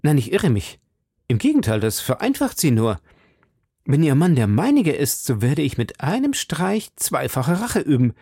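Guy playing a cocky young gentleman in an audiobook, brisk questions, raised pitch, dry close sound. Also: huge pitch swings, breathy edge, no echo, exaggerated voice. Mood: excited. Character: brash, inquisitive young gallant, proud and impulsive. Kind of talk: audiobook character dialogue.

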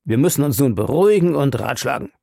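A man voicing a calm older professor who speaks in a hushed, reassuring way in a read-aloud story. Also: mid-range voice, quick pace, gravelly, dry recording, studio quality, pitched above the performer's own voice.

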